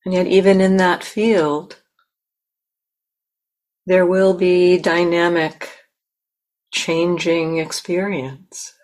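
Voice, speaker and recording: A woman meditation teacher leading a guided meditation in a gentle, unhurried manner with long pauses. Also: fairly low pitch, flat delivery.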